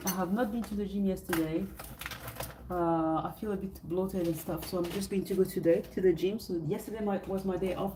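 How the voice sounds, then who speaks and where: A woman's voice: on the low side, background noise. A woman from France with a French accent, hosting a casual livestream, rambling and hesitant with many fillers and restarts, sounding sleepy. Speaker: livestream host. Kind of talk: casual livestream chat with viewers. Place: hotel suite, indoors.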